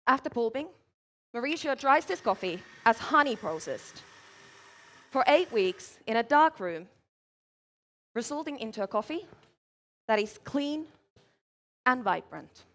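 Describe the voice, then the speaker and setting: A woman speaks in a clear, measured way as she presents her drinks to judges. Her voice sits a little high for a woman. She talks through each step while she works. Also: sing-song, fairly bright tone. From Finland. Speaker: barista competitor. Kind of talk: competition presentation. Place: large event hall, live competition.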